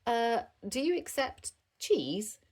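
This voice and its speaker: A woman's voice: fairly high pitch, very animated, slow pace, a little mellow, voice pushed up, full character voice. An easygoing cartoonish dad dog from a picture book, put on by a woman reader, playful and a touch flustered. The delivery swings widely and bursts into exclamations. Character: jokey, easygoing father dog, a bit flustered.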